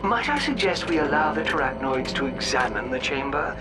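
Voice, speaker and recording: A man's voice: mid-range voice, fairly quick, close to an everyday voice. A man voicing a dry, formal robot butler henchman in a video game, politely impertinent. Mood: deferential, dry. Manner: formal, deadpan. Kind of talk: video game cutscene acting. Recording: loud game music behind.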